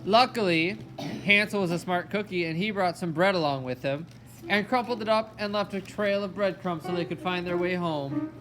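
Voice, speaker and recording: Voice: mid-range voice, normal speed, rough recording. A narrator telling a fairy tale in a storytelling style for a children's puppet show.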